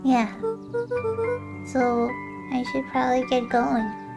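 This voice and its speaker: A woman's voice: high voice, little pitch movement, slow pace, creaky, over music, pitched above the performer's own voice, heavily acted. A woman voicing a guarded, worried young boy in a storybook video game, hesitant and dryly wry. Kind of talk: video game character read-aloud.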